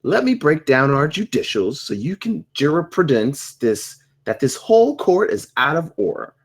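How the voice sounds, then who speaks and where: Man doing a husky, swooping, dim slacker. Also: on the high side, big pitch swings, moderate pace. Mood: earnest, muddled. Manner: rambling, muddled. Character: dim, rambling, earnest slacker defendant. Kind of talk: table read of a comedy script.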